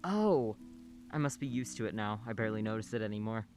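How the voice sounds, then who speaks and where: An actor playing a polite, soft-spoken male nurse in a radio play, a middle-aged neighbor who talks matter-of-factly. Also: lowish voice, animated, brisk, faint music behind, close to an everyday voice. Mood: calm, friendly. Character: gentle older male nurse neighbor, married, childless.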